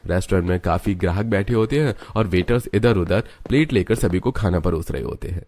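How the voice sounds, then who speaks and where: A man's voice: low voice, a little fast, a little mellow. A man narrating a scripted cartoon story.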